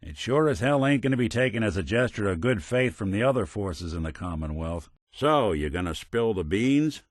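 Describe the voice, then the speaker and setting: A man's voice: fairly low pitch, animated, moderate pace, dry recording. A man playing a world-weary, middle-aged android detective in a video game, with an American accent and a wry, hard-boiled way of talking. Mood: disapproving. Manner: wry, dry, old-fashioned hard-boiled slang. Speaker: companion character in a video game.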